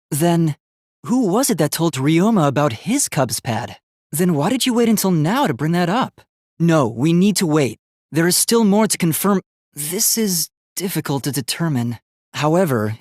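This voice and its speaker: A man's voice: fairly high pitch, no echo, studio quality. A man voicing an earnest, logical young robot student in a video game, speaking precisely and formally. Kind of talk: English video game voice acting.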